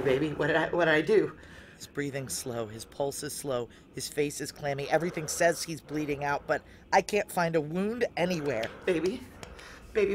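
An actress playing a tough, desperate fugitive, telling her story in an intense, halting, urgent way in an audio drama. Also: low voice, fairly bright tone, slight background noise. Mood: distraught. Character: tough, desperate female fugitive narrating a sci-fi heist. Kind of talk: dramatic first-person audio drama narration and dialogue.

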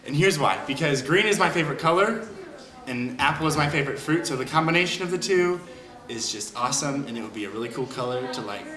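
A teenage young man jokes through his answers in a bright, slightly high voice. The room has a clear echo. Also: lively intonation, quick pace. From Georgia, US. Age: late teens. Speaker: singing contest entrant. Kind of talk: casual interview answers. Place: wood-panelled room, seated in armchair.

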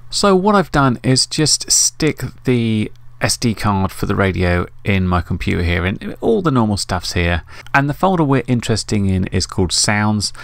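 A man's voice: lowish voice, very animated, moderate pace, vocal fry, no room sound. A middle-aged man narrating a casual tutorial in an informal, explanatory, conversational way. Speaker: host of an RC radio tutorial.

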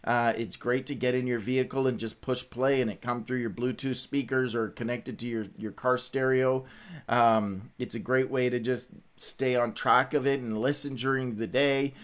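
A man's voice: medium pitch, steady tone, normal speed. A middle-aged man hosting a livestream in casual, rambling, informal chat. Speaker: host of a Bible read-along livestream.

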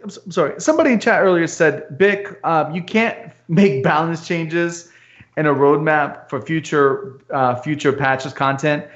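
A man's voice: a little high, moderate pace. A man whose first language is Albanian, speaking earnestly in a casual video-call chat, often restarting his sentences. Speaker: podcast host. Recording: muffled lo-fi call audio.

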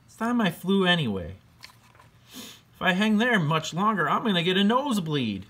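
A man's voice: high-pitched, very animated, normal speed, a bit bright, husky, voice pushed up, exaggerated voice. A man voicing a chatty male bat in a picture book.

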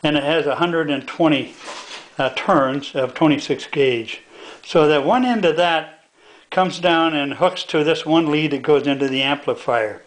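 An older American man with a slightly high voice walks through a homemade project. He is halting, folksy and plain-spoken, talking casually as he works indoors at a tabletop. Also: poor audio. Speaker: hobbyist demonstrator. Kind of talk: casual hands-on demonstration. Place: indoors at a home workbench.

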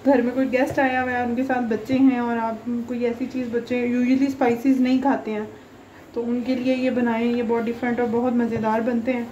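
A woman's voice: fairly high pitch, level tone, a little fast, dark tone, muffled recording, a little hiss or hum. A young woman hosting a home cooking channel, explaining a recipe step by step in a chatty, homely way with many fillers. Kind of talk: casual cooking tutorial voice-over. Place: home kitchen.

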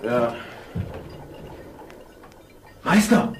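An actor playing an excitable young miller's apprentice, eagerly calling out in awe in a fairy-tale audio play. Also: male voice, medium pitch, extremely slow. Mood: awestruck. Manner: awestruck, eager announcing. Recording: roomy, distant, noisy with ambience.

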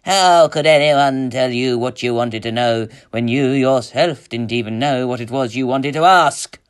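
Storybook villainess, a deceitful sea enchantress, coaxing or scornful, high voice played by a male narrator. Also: a little slow, smooth, lightly acted. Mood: scornful fury.

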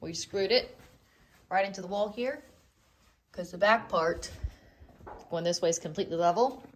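A woman's voice: on the low side, moderate pace, slightly rough. A woman casually narrating a home renovation project in an unfinished basement, upbeat and teasing. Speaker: co-host of a home renovation channel.

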